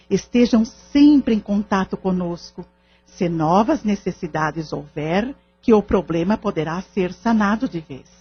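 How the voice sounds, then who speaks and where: A woman playing a calm, devout spiritist group leader in an audio drama, husky and measured, with formal, counselling words. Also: lowish voice, dull audio.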